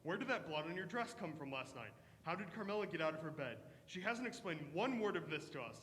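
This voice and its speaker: A man acts out a stern father from a 1940s radio drama. His voice sits a little high for a man, and he is formal, pressing and suspicious. The room around him rings with reverb. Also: sing-song, fairly quick, a bit bright.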